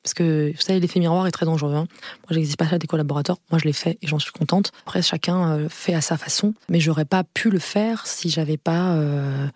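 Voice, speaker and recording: Frank woman, low voice, many fillers. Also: a little fast, a little mellow, no room sound, clean studio sound. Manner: earnest, frank, repeats herself and hesitates with many fillers. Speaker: lawyer and law firm partner. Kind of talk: podcast interview guest.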